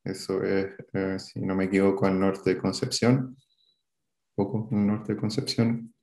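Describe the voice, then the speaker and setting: Chilean man in his thirties, interviewee; low, flat, gravelly voice, hesitant delivery, echoey room. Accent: Chilean Spanish. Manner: halting, hesitant, many fillers and restarts. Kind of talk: recalling a past event in an interview.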